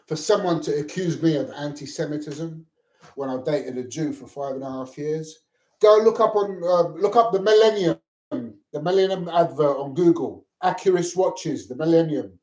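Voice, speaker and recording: A man's voice: a little high, moderate pace, a little mellow. A middle-aged man from Lebanon, talking in a rambling personal monologue. He is agitated, repeats himself and swears often. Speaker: vlogger. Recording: echoey room.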